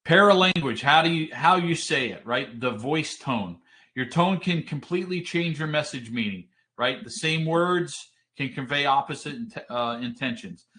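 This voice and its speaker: A man with a deep, commanding voice teaching a webinar, explaining points steadily and often checking in with a quick "right?". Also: lively intonation, sharp tone. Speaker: trainer. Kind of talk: webinar lecture.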